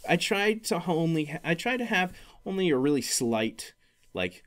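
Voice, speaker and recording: A man hosting a solo podcast, talking casually in a rambling, halting way and often correcting himself. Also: fairly high pitch, big pitch swings, a bit bright. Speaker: podcast host.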